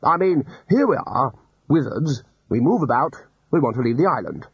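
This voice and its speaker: A man reading aloud and voicing a brisk, hearty elderly male wizard leader. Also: mid-range voice, animated, slightly rough, dry recording. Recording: dull lo-fi audiobook recording.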